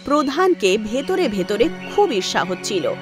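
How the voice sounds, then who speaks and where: A woman's voice: a little high, big pitch swings, unhurried. A woman narrating a scripted fairy tale as a cartoon storyteller. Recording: voice over background music.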